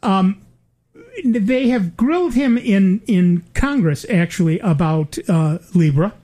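A man's voice: high-pitched, slow pace, dark tone. Older guy chatting casually, lots of uh and um, wry little jokes, starts over a lot. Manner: rambling, many fillers and restarts, wry asides. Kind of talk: casual chat.

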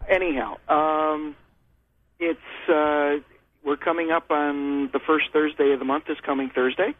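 A man's voice: on the high side, flat delivery, measured pace. A man with a Western New York accent calling in to a radio show, friendly and rambling, with many fillers. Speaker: caller. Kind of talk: casual call-in chat. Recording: tinny phone line.

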